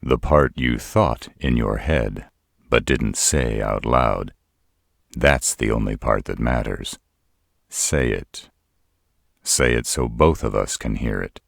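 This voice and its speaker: Man playing a gruff, very deep-voiced stern father. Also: no room sound, studio quality, full character voice. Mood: commanding. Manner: stern, blunt, unhurried. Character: stern, shrewd human father-in-law, gruff patriarch. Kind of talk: roleplay audio drama acting.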